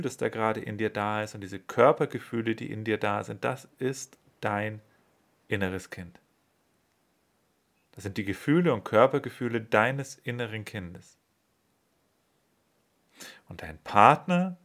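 A man leading a guided body-awareness meditation in a slow, calm, soothing way. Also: mid-range voice.

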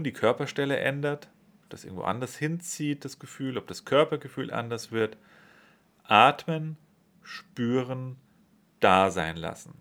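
A man leading a guided body-awareness meditation in a slow, calm, soothing way. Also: medium pitch.

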